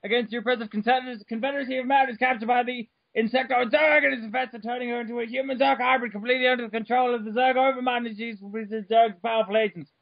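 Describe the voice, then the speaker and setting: A man giving an over-the-top dramatic reading, loud and fast, tripping over his words as he goes. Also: very high-pitched, fairly even intonation, gravelly, no room sound, highs cut off. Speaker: reader of a comic dramatic reading.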